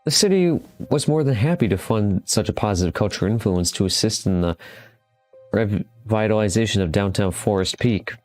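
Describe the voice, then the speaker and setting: A man with a fairly low voice hosts a casual gaming video. He talks informally and reads on-screen text out loud, sometimes tripping over it. Light music plays behind him. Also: normal speed. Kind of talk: casual game commentary and reading game text aloud.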